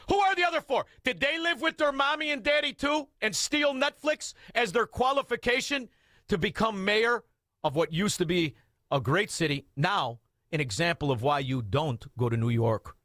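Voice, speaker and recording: A man, hosting talk radio in a combative, mocking rant with shouted outbursts. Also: high voice, lively intonation, normal speed, dry recording, studio quality. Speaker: radio talk show host.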